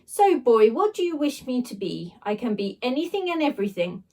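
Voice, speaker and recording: Storybook slime monster, played by a woman: posh, booming, playful grandee, high and very animated. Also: moderate pace. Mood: grand, eager. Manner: grand, theatrical, exclamatory. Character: huge blobby slime creature, posh, booming, playful, dramatic.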